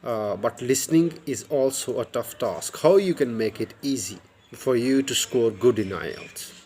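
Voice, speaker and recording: A man's voice: medium pitch, measured pace, a little mellow, slight background noise. A man in his thirties from Pakistan, whose first language is Urdu, speaks English with a Pakistani accent. He gives an earnest, casual lesson straight to a phone camera outdoors, often repeating and restarting words. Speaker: YouTube English tutor. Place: outdoors by a brick wall. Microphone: wired earphone mic, phone at arm's length.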